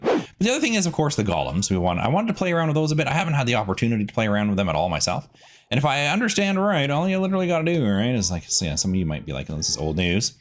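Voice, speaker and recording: A man hosting a gaming video, giving casual, chatty gameplay commentary and thinking out loud as he goes. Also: mid-range voice, wildly swooping pitch, racing.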